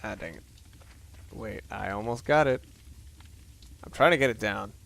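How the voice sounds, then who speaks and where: A man's voice: fairly low pitch, moderate pace, a bit bright. A man giving casual, unscripted video game commentary in a rambling, sweary, slangy way. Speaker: let's play commentator. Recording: clear background noise.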